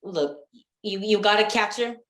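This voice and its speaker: A woman's voice: medium pitch, a little slow, a bit bright, echoey room, lightly acted. A woman playing an exasperated, bewildered comic sidekick in a 1940s radio comedy routine, stammering and insistent in her questions. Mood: frustrated.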